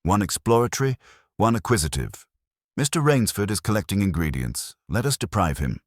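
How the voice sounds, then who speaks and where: A man's voice: fairly low pitch, very animated, brisk, dry recording, clean studio sound, voice pushed up. A man voicing an imperious, precise detective genius with a formal, pompous, commanding manner in a mystery story. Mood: dry certainty.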